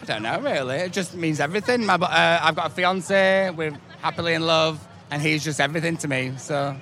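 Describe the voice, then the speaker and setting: A man's voice: on the high side, a little fast, pushed and bright, a little hiss or hum. A man chatting in a laid-back way at an outdoor festival. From Leeds. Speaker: festival goer.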